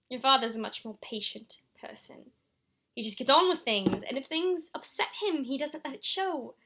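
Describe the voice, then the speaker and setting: High, bright-voiced regretful mother, acted by a woman. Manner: emotional, confessional, halting phrases. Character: regretful, frayed mother confessing in a letter to her son. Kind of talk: dramatic stage monologue.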